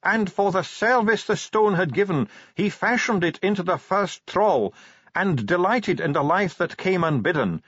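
A man voicing an earnest, scholarly dwarf translator in an audiobook, reciting ancient lore in slow, archaic, declamatory phrases. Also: high-pitched, sing-song, a bit bright, slightly rough, no echo, lo-fi sound, voice pushed up, full character voice. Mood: urgent, proclaiming.

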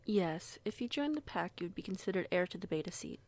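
A woman playing a courteous, gently authoritative werewolf pack leader in an audio roleplay, with formal, measured wording. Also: lowish voice, lively intonation. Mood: wry, composed.

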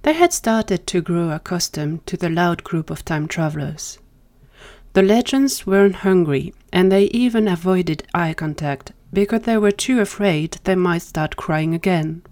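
Low-pitched woman narrating a story. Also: animated, a little slow, a little mellow. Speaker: narrator of fan fiction audio drama.

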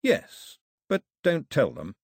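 A man acts out a storybook boy who leads his people. The voice sits a little high, earnest and plain, modest and watchful in the way he explains things. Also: huge pitch swings, slow, husky, dry recording, clean studio sound, exaggerated voice.

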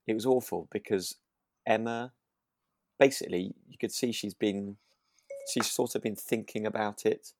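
A man co-hosting a relaxed discussion podcast, thinking aloud in a hesitant, rambling way. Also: on the low side, normal speed, long pauses, no echo. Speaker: podcast co-host. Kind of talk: relaxed chat reviewing a radio drama.

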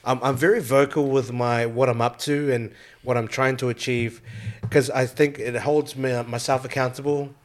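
A man's voice: mid-range voice, level tone, normal speed, hardly any pauses. A man, raised there, hosting a casual podcast from home and rambling with lots of fillers and restarts. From New Zealand. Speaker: podcast host.

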